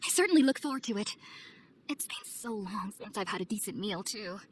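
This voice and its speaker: A woman playing an earnest, polite young scholar in a video game, speaking in a formal, measured, articulate way. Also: mid-range voice, lively intonation, a little hiss or hum, natural voice. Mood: grateful, gentle. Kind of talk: video game cutscene acting.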